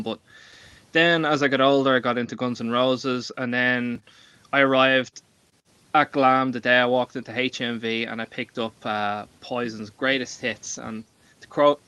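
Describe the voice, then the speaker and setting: A man's voice: mid-range voice, a bit bright, smooth, no echo. An Irish man telling personal stories casually on a livestream panel, emphatic and given to swearing. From Ireland. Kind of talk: casual chat, personal storytelling. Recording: dull, lo-fi microphone.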